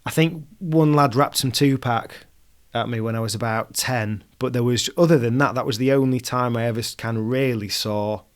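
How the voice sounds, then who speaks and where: A man's voice: mid-range voice, moderate pace. A man with a Yorkshire accent, hosting a casual interview in a conversational way. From West Yorkshire, England. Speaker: podcast host.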